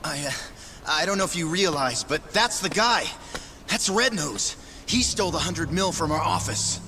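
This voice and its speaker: Desperate gangster, swinging wildly in pitch. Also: male voice, lively intonation, normal speed, pushed and bright, a little hiss or hum. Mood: shaken, confessing. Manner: swearing, stammering under pressure. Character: desperate, foul-mouthed young male yakuza underling, fiercely loyal. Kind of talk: dramatic video game cutscene acting.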